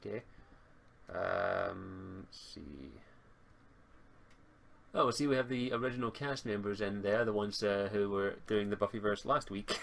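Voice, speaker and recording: A man's voice: fairly low pitch, measured pace, vocal fry, pauses often, a little hiss or hum. Stammering man running a tabletop game. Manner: stammering, often restarting words. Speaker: game master. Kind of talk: explaining tabletop game rules to players. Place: online voice call, streamed.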